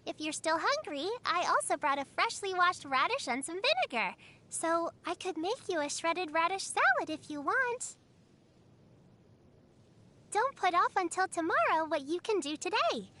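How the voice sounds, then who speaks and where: Very high, sweet little girl. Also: sing-song, moderate pace, sharp tone, heavily acted. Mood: polite, helpful. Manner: polite, nurturing, childlike wonder. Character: sweet caring little girl disciple, polite, earnest and childlike. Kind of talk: cute anime video game voice lines.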